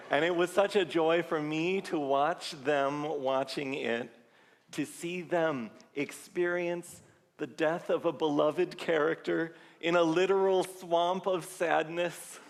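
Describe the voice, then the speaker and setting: A man leading a church service, giving a sermon-style reflection to the congregation in an earnest, measured way. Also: on the high side, steady tone, vocal fry. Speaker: service leader. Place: large church sanctuary. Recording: long reverb of a large church hall.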